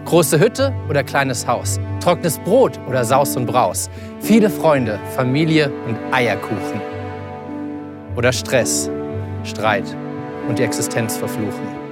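A man, a parent, slowly reciting rhyming spoken-word poetry. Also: medium pitch, lively intonation. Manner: slow, rhyming delivery. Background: music.

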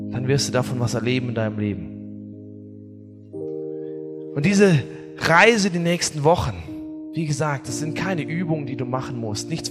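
A man preaching a sermon as pastor, telling stories with humor in a lively way. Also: mid-range voice. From not from Bavaria or Austria. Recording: echoey hall, faint music.

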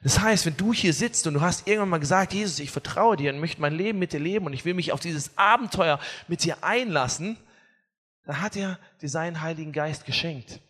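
A man preaching a sermon as pastor, telling stories with humor in a lively way. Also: fairly high pitch, a little fast. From not from Bavaria or Austria. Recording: echoey hall, faint music.

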